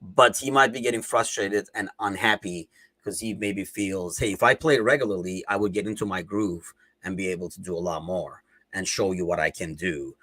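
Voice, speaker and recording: A man's voice: deep voice, moderate pace, bright, forceful tone, dry recording. A man with a Turkish accent, speaking English as a second language, hosting a casual football chat in a rambling, conversational way full of fillers. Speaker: podcast host.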